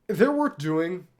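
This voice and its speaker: A man chatting casually on a gaming livestream, wordy and thoughtful, often hesitating as he searches for words. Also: sits high. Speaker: game streamer. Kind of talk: casual rambling livestream chat while gaming. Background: game music.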